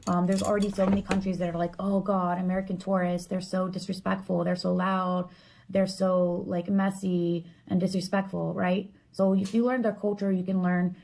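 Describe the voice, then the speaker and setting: A woman giving a casual, explanatory monologue, earnest and opinionated, often halting and leaning on filler words. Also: on the low side, little pitch movement, vocal fry, a little mellow.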